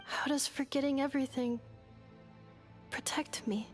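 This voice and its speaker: A woman's voice: high-pitched, fairly even intonation, a little slow, faint music behind. A woman playing a composed, dutiful robot young woman in a video game, speaking formally in restrained, clipped phrases. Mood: puzzled, restrained.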